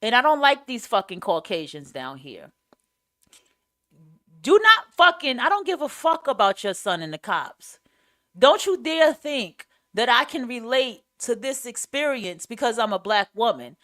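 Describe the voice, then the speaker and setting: A woman podcaster with a raspy voice that carries and a clear New York accent. She talks off the cuff in a casual monologue, blunt and opinionated, leaning hard on her points and swearing freely. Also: on the high side, sing-song, measured pace, fairly bright tone. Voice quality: raspy, carrying voice. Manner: blunt, emphatic, profane. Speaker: podcast host.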